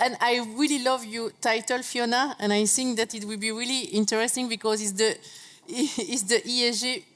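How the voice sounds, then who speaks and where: A woman moderating a conference session, introducing a speaker with hesitant, stumbling phrasing and many fillers. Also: fairly high pitch. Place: conference room.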